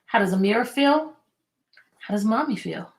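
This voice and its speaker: A woman with a somewhat high voice teaches through a picture-book read-aloud. She is expressive and deliberate, and she speaks directly to young listeners to prompt them. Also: noisy background. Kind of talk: children's read-aloud with questions.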